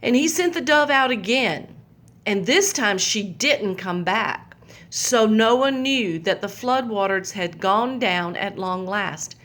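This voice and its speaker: Slow gentle woman reading to kids. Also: medium pitch, lively intonation. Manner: slow, gentle, pointing out pictures to young listeners. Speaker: children's storyteller. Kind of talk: reading a Bible story to children.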